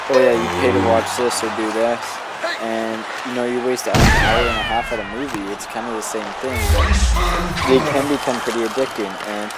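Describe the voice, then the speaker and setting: A man with a slightly hoarse voice from a cold, giving rambling, casual gameplay commentary full of ums and "you know" as the host of a gaming video. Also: mid-range voice. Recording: steady background noise under the voice.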